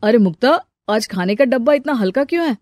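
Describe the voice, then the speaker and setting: A demanding office-going husband acted by a woman in a cartoon family story. The voice is high for a man, insistent and perplexed, with a dry sound. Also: lively intonation, a little fast, darkish tone, no echo, lightly acted. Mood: baffled. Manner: insistent, exclaiming.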